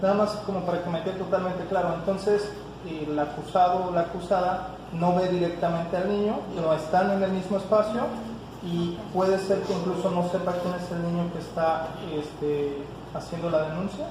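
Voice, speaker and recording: A man's voice: high-pitched, steady tone, moderate pace. A man speaking off the cuff as an audience member seeking clarification. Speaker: audience member asking questions. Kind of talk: spontaneous question and clarification. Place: conference hall, among the audience. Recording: very echoey, distant, noisy.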